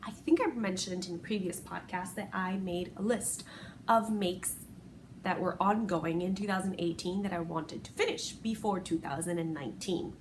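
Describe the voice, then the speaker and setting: Woman podcast host from Puerto Rico, native Spanish speaker, casual and self-correcting, middle pitch, faint background noise. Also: a bit bright, poor audio. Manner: chatty, rambling, self-correcting with small laughs. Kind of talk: casual solo podcast chat.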